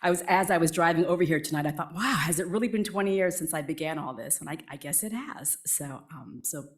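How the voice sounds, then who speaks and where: A woman in her forties, born in Vietnam and raised near Boston, talking to a live audience in a chatty, self-deprecating way. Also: quick pace. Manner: chatty and self-deprecating, lively pitch swings. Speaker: guest speaker, writer. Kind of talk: casual talk to a live audience.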